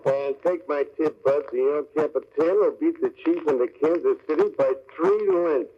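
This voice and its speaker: A muffled old radio recording with faint noise carries a man acting a nosy racetrack tout. His voice sits a little high. He speaks in clipped, deadpan, slangy asides, as if every word were a secret tip. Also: normal speed, close to an everyday voice. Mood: sly, confidential.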